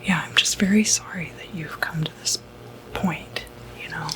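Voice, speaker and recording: A woman whispering an ASMR roleplay as a sympathetic, non-judgmental doctor, speaking gently with reassuring, hesitant pauses. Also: lowish voice, rough recording. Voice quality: breathy, unvoiced whisper. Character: sympathetic, non-judgmental doctor who treats demonic possession.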